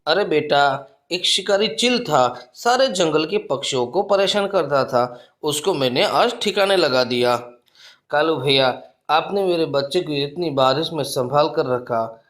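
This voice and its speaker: Guy doing a plucky mama sparrow for a kids cartoon, highish voice, super dramatic n pleading. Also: animated, unhurried, fairly bright tone. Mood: proud, grateful. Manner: pleading, melodramatic. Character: plucky, crafty mother sparrow, female, protective and dramatic.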